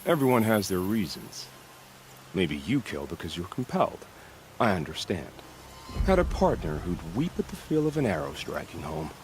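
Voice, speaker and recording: An actor playing a wry, philosophical, bloodthirsty bandit hunter in a video game, with a sardonic, musing, theatrical delivery. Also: male voice, sits low, sing-song, normal speed, slight background noise. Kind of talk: video game dialogue acting.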